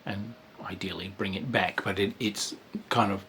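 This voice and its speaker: Man, lowish voice, casual game review, rambling, hesitant ums. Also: steady tone, moderate pace, fairly bright tone, a little hiss or hum. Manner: rambling, with frequent ums and restarts.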